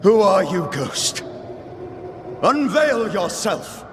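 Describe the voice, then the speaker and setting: A man's voice: sits high, sing-song, drawn-out words, background noise, full character voice. An actor playing a stern, fervent clergyman who banishes spirits in a game, with imperious, declamatory delivery. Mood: commanding. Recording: echoing, music behind.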